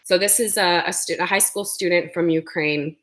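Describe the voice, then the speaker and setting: Lady giving a school webinar, kinda scratchy sore throat, says um a lot, pitch on the low side. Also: fairly even intonation, normal speed, fairly bright tone. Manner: explanatory, many ums. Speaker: webinar presenter. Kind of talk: presenting a webinar.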